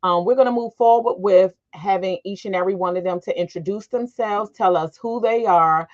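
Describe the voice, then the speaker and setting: A woman's voice: medium pitch, normal speed, darkish tone. A middle-aged American woman hosting an informal panel discussion. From Washington DC area, United States. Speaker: host. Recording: dull, lo-fi call audio.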